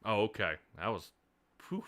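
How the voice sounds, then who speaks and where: A man's voice: lowish voice, big pitch swings, normal speed, a crowd in the background. A man hosting a sim racing broadcast, interviewing a driver and rambling upbeat commentary with many restarts. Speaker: race broadcast host.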